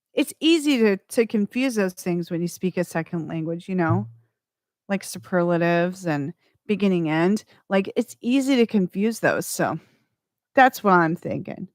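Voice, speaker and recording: Slow-talking woman radio DJ, dry mic. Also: mid-range voice, no echo. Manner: slow, rambling, self-correcting. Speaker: radio show host. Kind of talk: casual radio DJ talk between tracks.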